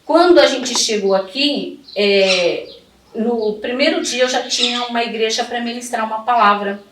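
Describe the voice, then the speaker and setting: A woman's voice: on the high side, normal speed. A middle-aged woman gives personal testimony in conversation, devout, colloquial and emphatic. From São Paulo, Brazil. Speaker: interview guest telling her life story. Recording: echoey, distant mic, clearly noisy.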